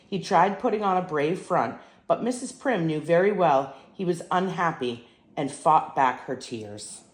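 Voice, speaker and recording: An older woman reading a children's storybook aloud as a grandmother would, in an expressive, emphatic way. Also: deep voice, measured pace, echoey room.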